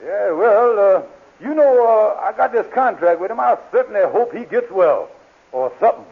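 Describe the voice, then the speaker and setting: Wheedling high-pitched schemer, blustering lodge boss, old radio comedy, thin hissy recording. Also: male voice, animated, moderate pace, vocal fry, phone-quality sound. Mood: worried. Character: scheming, blustering male lodge leader and small-time swindler. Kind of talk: 1940s radio comedy acting.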